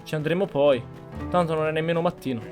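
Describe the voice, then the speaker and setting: Slightly high-voiced man commenting gameplay. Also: racing, over music. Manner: informal, blunt. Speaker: gaming host. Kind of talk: casual roleplay gameplay commentary.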